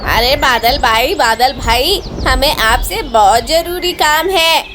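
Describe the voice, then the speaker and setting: A woman's voice: sits high, takes it slowly, sharp tone, a little hiss or hum, exaggerated voice. A woman voicing a lazy, persuasive male crow in a cartoon story, with an exclamatory, urging manner. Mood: urgent. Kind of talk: Hindi cartoon story dialogue.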